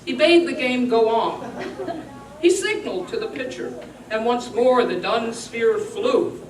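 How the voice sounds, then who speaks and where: A woman recites verse theatrically, with a highish voice that moves in animated swoops. Her delivery is emphatic and deliberate. She is picked up from a distance in a noisy, echoey room. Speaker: performer giving a dramatic reading. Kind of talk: dramatic live poetry recitation. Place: roomy indoor venue, recorded at a distance.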